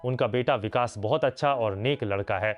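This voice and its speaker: A man's voice: medium pitch, normal speed, dull audio, faint music behind, natural voice. A man playing a firm, earnest middle-aged father in a cartoon moral story, serious and paternal.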